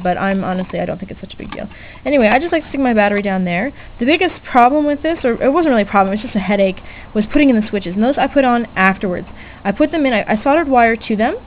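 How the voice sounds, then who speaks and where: A woman giving a casual, unscripted hobby demonstration, chatty and hesitant, often correcting herself as she goes. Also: medium pitch. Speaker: DIY electronics hobbyist presenter. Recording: tinny lo-fi webcam-era audio, background noise.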